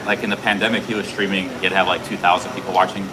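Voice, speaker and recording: Male IRL streamer, deeper voice that carries, loose rambling chat over clear street noise. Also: little pitch movement, quick pace. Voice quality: loud, carrying voice. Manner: rambling, many fillers and restarts. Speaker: livestream host. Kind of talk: casual chat while streaming. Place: city street outdoors. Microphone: streaming camera rig.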